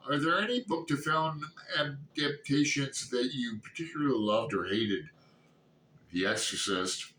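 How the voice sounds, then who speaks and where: Older guy in his 60s rambling casually, slow and halting, roomy echo, mic kinda far off. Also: medium pitch, sharp tone. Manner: halting, rambling, thinking aloud. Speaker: book reviewer vlogger. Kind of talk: casual unscripted book chat. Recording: roomy echo, distant mic, background noise.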